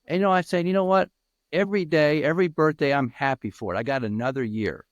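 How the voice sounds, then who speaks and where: A man over fifty answering interview questions as a peer mentor, speaking earnestly and haltingly with many restarts. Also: a little high, no room sound, dull audio.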